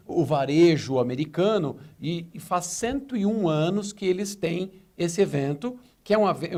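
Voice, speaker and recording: A man's voice: fairly high pitch, a little mellow. A man with a Brazilian Portuguese accent, a retail consultant answering interview questions in a fluent, explanatory way on a business TV show. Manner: fluent, explanatory, tells anecdotes.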